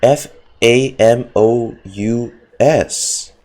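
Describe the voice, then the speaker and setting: A man giving casual live commentary on a game as a streamer, halting and wry. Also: mid-range voice, darkish tone. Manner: halting, wry, self-joking.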